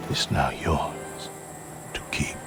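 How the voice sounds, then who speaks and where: An actor playing the proud, solemn spirit of an ancient cursed blade in a video game, with grave, declamatory, archaic delivery. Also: male voice, slow, loud music behind the voice, lightly acted. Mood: solemn, fading. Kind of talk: dramatic video game acting.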